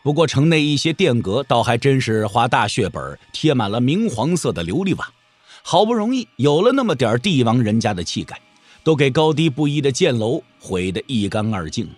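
Husky, highly expressive male storyteller. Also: normal speed, dry recording, faint music behind. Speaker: narrator. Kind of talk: dramatic scripted storytelling for martial-arts audio drama.

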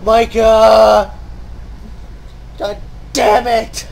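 A man's voice: sits high, level tone, drawn-out words, gravelly, darkish tone. A man giving mocking, profane, exasperated commentary over a video game. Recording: game audio and noise behind voice. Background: video game cutscene dialogue and sounds.

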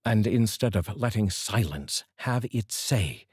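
A middle-aged man with a very low, husky voice. He speaks as a poetry reader, with deliberate phrasing. The sound is close and dry, from a studio microphone. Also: expressive, dry recording. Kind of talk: poetry reading with casual introduction. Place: small dark indoor studio. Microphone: large studio microphone, close.

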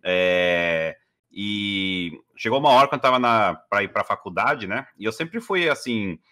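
A man's voice: on the low side, expressive, a little slow, sharp tone. A man, telling his story as a guest on a video call, chatty with many fillers. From Brazil. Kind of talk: casual interview storytelling. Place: living room at home.

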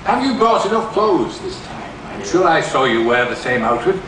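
Older man as blunt comic storybook woman, brisk, chatty, no-nonsense, animated pitch, distant noisy recording. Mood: teasing. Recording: echoey theatre, distant camera mic, loud noise.

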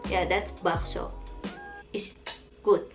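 Slow Indonesian-accented young woman. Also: lowish voice, fairly even intonation, music in the background. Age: young adult. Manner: slow, hesitant, giggly, answers in short bits. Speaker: vlogger. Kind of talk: casual get-to-know-me tag video. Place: small bedroom, indoors. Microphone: camera in front at close range.

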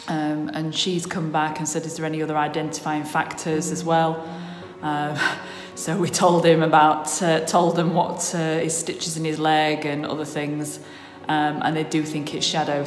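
A woman, talking worriedly into a phone held at arm's length in a casual vlog. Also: low-pitched. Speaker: vlogger. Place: outdoors in the countryside and indoors at home.

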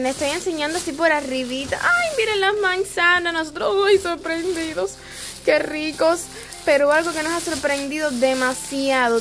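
Excitable high-voiced young Cuban woman. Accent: Cuban Spanish. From Cuba. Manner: chatty and excitable, quick exclamations. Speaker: vlogger. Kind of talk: casual show-and-tell vlog. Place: small home dining room, indoors.

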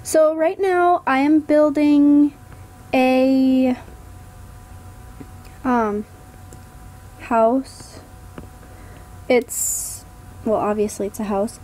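Slow, hoarse young-sounding woman, hesitant. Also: fairly high pitch, low-quality recording. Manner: hesitant, many ums, trails off quietly. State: hoarse, getting sick. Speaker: gaming vlogger. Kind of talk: casual voiceover narrating gameplay.

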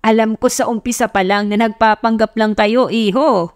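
A woman's voice: a little high, steady tone, moderate pace, dry recording, clean studio sound, soft background music, natural voice. A woman voicing an amused, self-satisfied old grandfather in an audio love story.

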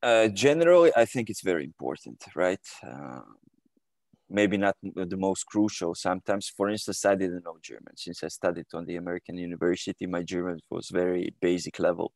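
A man from Croatia in his thirties speaks over a video call from a room at home. His voice is deep with a gravelly edge, and the sound is dry and close. He talks in a hesitant way, full of fillers and restarts. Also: a little fast, a little mellow, no room sound. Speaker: guest. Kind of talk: casual interview answers.

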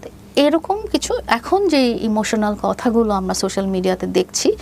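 Halting, creaky-voiced Bangladeshi woman. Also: mid-range voice, very animated, darkish tone, a little hiss or hum. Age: 50s. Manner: hesitant, halting delivery, mixing in English phrases. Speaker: interview guest. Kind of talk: personal storytelling in an interview.

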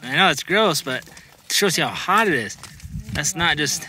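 A man in his thirties, excitedly narrating a family vlog to a handheld camera outdoors on a volcano. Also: a little high, moderate pace. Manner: excited, exclaiming, explaining to camera. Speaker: family vlogger. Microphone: handheld camera, selfie framing. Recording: loud wind and outdoor noise.

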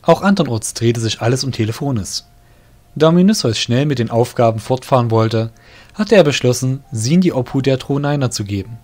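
A man narrates a fantasy audio drama from a script. His voice is medium in pitch, and faint music plays behind him. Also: animated, a little fast. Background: soft music.

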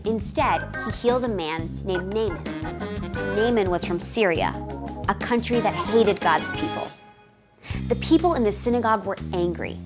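A woman narrating a Bible story for children in a clear, steady storytelling voice. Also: mid-range voice.

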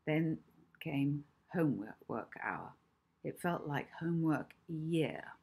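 A middle-aged woman reading her own children's story aloud, measured and expressive, with deliberate pauses. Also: low-pitched, husky. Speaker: author reading own book. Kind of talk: children's audiobook narration.

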